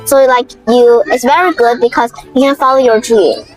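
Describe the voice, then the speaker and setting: Young woman from China, slight Chinese accent, high voice, halting interviewer, faint music behind. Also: fairly even intonation, low-quality recording. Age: young adult. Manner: hesitant, many fillers, laughs often. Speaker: street interviewer. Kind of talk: casual street interview. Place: outdoors on a city street.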